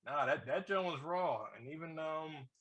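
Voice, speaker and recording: A man with a very bright, forward voice that sits a little high co-hosts a review show. He talks in loose, joking street slang and swears freely in casual chat. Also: moderate pace, lo-fi sound. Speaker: podcast co-host reviewing movies.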